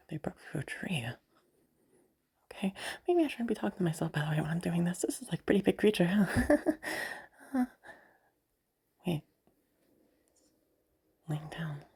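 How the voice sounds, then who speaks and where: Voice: medium pitch, gravelly, darkish tone, husky, full character voice. A performer voicing a proud, frustrated young woman inventor out hunting, muttering to herself with sighs and swearing in a dramatic audio roleplay. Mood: wary, hushed. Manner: muttering to self, sighing, sweary self-argument.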